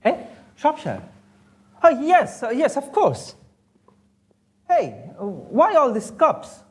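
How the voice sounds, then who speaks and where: A young man playing an earnest, romantic, easily flustered Victorian gentleman in a theatrical stage comedy. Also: extremely high pitch, wildly swooping pitch, slow, heavily acted. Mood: evasive, curious. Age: young adult. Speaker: student actor in a stage play. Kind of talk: stage comedy of manners acting.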